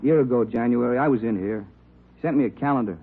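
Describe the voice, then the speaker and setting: A man's voice: mid-range voice, fast talker, breathy edge. An actor playing a resigned, subdued man under questioning in an old-time radio drama, speaking in clipped fragments. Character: resigned, subdued male acquaintance of a fugitive. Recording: muffled narrow-band vintage radio recording with noise.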